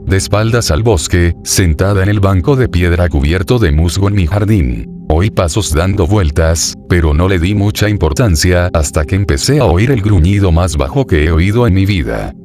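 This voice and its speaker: A man narrating a first-person horror story in a steady, even reading voice. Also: sits low. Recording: narration over clear background music. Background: background music.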